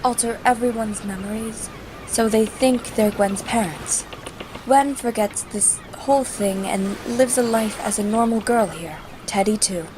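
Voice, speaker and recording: Woman as a high-voiced, serious future superhero. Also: a little slow, close to an everyday voice. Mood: scheming. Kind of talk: comic dub acting. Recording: noisy home recording.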